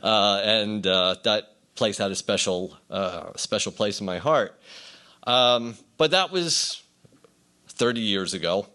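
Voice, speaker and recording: A middle-aged or older man giving an informal acceptance speech as a writer, telling anecdotes conversationally with hesitant pauses. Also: fairly low pitch, pushed and bright.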